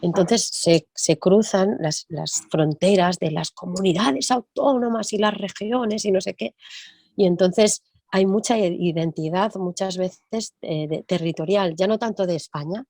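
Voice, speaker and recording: A woman music teacher talking in an interview, thinking aloud and often tagging her points with quick questions. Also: mid-range voice, dark tone, no echo, studio quality. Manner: reflective, thinks aloud with frequent tag questions. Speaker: music teacher and percussionist guest. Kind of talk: conversational interview.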